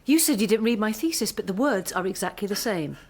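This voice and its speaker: An actress in a radio drama playing a defiant, accusing young postgraduate student with a pointed, sardonic delivery. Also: female voice, mid-range voice, lively intonation, fast talker, darkish tone. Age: young adult. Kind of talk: naturalistic radio drama acting.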